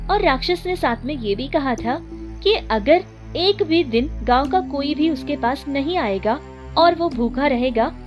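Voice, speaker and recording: A woman narrating a children's cartoon story in a steady storytelling manner. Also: high voice.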